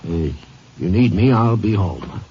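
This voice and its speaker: An actor playing a cooperative rancher in a 1950s radio drama, answering in a hesitant, folksy way. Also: male voice, lowish voice, big pitch swings, a little slow. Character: plain, cooperative rancher witness. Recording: muffled, noisy vintage radio recording.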